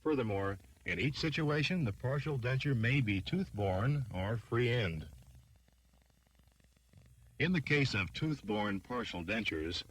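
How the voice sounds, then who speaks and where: A man reading scripted training film narration in a formal, measured, instructional manner. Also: medium pitch, lively intonation, rough recording.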